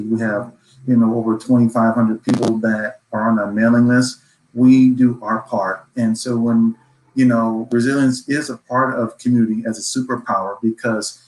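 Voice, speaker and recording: Male panelist, average pitch, earnest, emphatic conversational delivery, roomy echoing sound. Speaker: organization leader speaking as a panelist.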